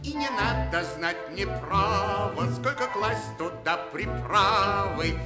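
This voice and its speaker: A very lively, jolly ship's cook in a radio play, echoing over loud music. He half-sings his rhymes in a carefree, theatrical way, drawing the words out slowly. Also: high voice. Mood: jolly, boastful. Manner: theatrical, sing-song, carefree. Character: jolly, careless singing ship's cook, adult man. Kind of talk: Soviet radio play acting, rhymed half-sung patter.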